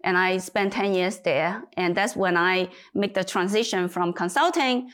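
Woman executive raised in Hong Kong, mid-pitched, lively, laughs often and repeats for emphasis. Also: unhurried. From China, raised in Hong Kong. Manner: animated, laughs easily, emphatic repetitions. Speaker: CEO guest. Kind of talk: business interview.

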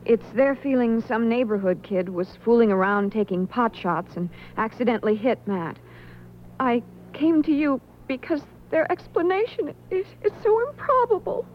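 An actress playing an earnest, anguished young widow in a radio drama. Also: female voice, fairly high pitch, moderate pace, a little hiss or hum, natural voice. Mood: troubled, earnest. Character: anguished young widow in her early twenties. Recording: dull old radio broadcast.

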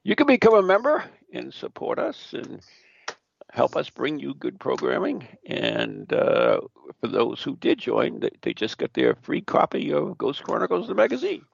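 A man's voice: medium pitch, moderate pace, creaky, breathy edge, no echo. A man hosting a casual radio show, chatty and rambling with fillers. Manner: lively, chatty, trails off with fillers. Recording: dull lo-fi dry audio.

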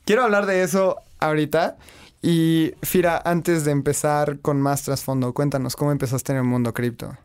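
A man's voice: fairly high pitch, fairly even intonation, normal speed, clean tone, no room sound. A man hosting a podcast interview in a studio, chatting and asking questions in a friendly way. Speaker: podcast host. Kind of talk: casual interview.

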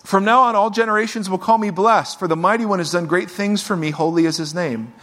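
A man's voice: sits high, brisk, a bit bright. A man preaching a sermon to a live congregation, reading scripture and talking in a loose, joking, conversational way. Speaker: pastor.

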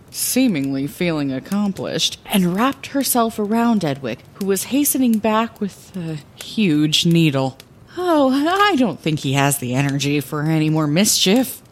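A woman's voice: fairly low pitch, very animated, measured pace, slight background noise. A woman playing a wry, impulsive lighthouse keeper who narrates her adventures theatrically in a fantasy audio drama. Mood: wry suspense.

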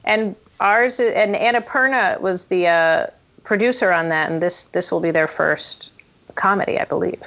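A woman's voice: mid-range voice, moderate pace, a bit bright. A woman speaking as a guest screenwriter in a casual interview, thinking aloud with frequent restarts. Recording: dull, lo-fi audio.

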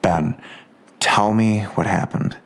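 A man's voice: on the low side, steady tone, slow, vocal fry. An actor playing a hot-tempered police detective and protective father, blunt and confrontational, in an audio drama. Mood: controlled concern.

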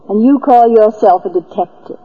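A playful wife in a 1940s radio mystery. Her medium-pitched voice is husky and teasing, on a muffled, reverberant old recording.

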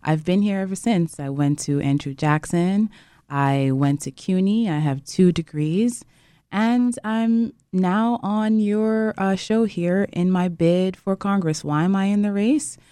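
A woman's voice: medium pitch, measured pace, warm tone, clear, resonant tone, dry recording, studio quality. A woman from Jamaica, a congressional candidate, speaking firmly as a radio show guest. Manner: firm, campaign-speech delivery. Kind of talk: political interview by phone or studio line.

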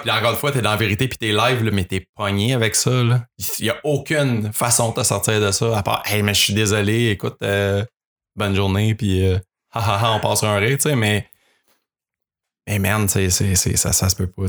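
A man's voice: on the low side, a bit bright. A young man with a Québécois accent, a radio writer chatting as a podcast guest, rambling with many fillers and restarts. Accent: Québécois French. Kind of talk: casual podcast chat.

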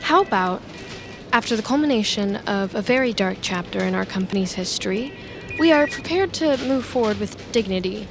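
A woman playing a brisk, image-conscious office leader in an audio drama, businesslike and decisive. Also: medium pitch, expressive, over music, close to an everyday voice. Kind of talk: naturalistic audio drama acting.

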